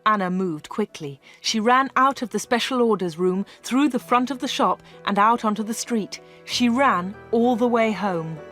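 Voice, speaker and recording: A woman reading a story aloud for English learners, in a clear, measured way. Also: a little high, a bit bright, faint music behind. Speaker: audiobook narrator.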